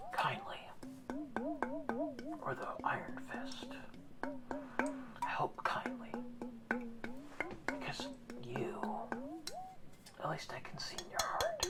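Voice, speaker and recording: A woman voicing an old, kindly male orca guardian in an ASMR roleplay, speaking in a soft, slow, reassuring whisper. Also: high voice, full character voice.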